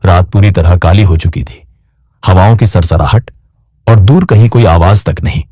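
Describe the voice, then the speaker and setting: A man's voice: low-pitched, normal speed, dark tone, slightly rough, dry recording, clean studio sound. A man narrating a scripted story in a dramatic style as a storyteller.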